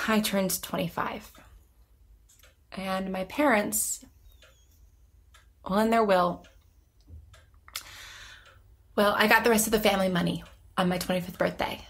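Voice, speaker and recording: An actress playing an anxious, lonely woman in her twenties, speaking haltingly and self-deprecatingly in a naturalistic audio drama. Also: on the high side, pushed and bright. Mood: fed up. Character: anxious, lonely young woman who vanishes through time.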